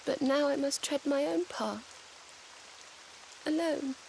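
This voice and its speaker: An actress voicing a gentle, polite young woman in a video game, speaking in prim phrases with faint rain behind her. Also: high voice, slow pace, gravelly, slight background noise, exaggerated voice. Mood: grieving. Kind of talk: dramatic video game voice acting.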